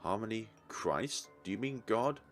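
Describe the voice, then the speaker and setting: Man acting a bewildered, animated young detective. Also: on the low side, measured pace, faint music behind, voice pushed up. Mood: agitated, defiant. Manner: urgent, questioning, exclamatory. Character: bewildered young male detective, agitated and defiant.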